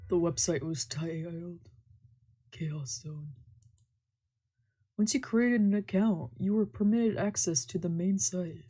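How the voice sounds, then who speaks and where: A woman's voice: lowish voice, takes it slowly, pauses often, mellow, husky. A woman streamer reading a visual novel aloud, with playful, slangy asides in casual speech. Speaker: streamer doing a visual novel let's play. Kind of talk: reading game text aloud with casual reactions.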